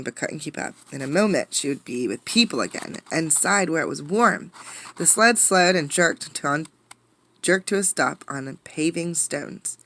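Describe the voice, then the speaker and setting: A woman reading a picture book aloud with dramatic, emphatic delivery, pausing to put questions to listeners. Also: low voice, a bit bright. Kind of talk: expressive storybook read-aloud with questions to listeners.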